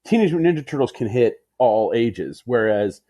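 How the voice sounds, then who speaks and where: A man's voice: mid-range voice, big pitch swings, normal speed, warm tone, no echo, studio quality. A man co-hosting a podcast, speaking in a casual, conversational discussion style. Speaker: podcast co-host.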